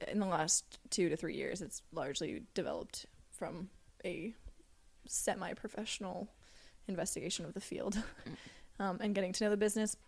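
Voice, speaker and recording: A woman's voice: on the low side, moderate pace, creaky, darkish tone. A young woman from Alberta, hosting a casual podcast chat with her team and often restarting her sentences. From Alberta, Canada. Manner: chatty, restarts sentences, asks the questions. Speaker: podcast host and producer.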